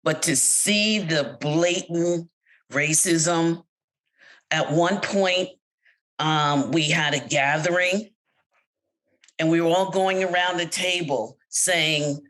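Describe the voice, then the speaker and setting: A middle-aged American woman with a slightly low voice tells her story candidly in an interview. Her delivery turns lively and expressive whenever she quotes other people. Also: takes it slowly, low-quality recording. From United States. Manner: candid storyteller, animated when quoting others. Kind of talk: personal account in an interview.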